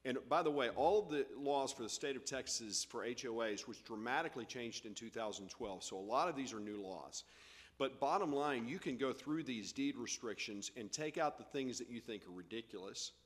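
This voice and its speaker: A man of middle pitch speaks earnestly at a council meeting, restarting phrases as he goes. The hall adds a long reverb to his voice. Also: lively intonation, moderate pace. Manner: earnest, advising, repeats words. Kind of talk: meeting discussion.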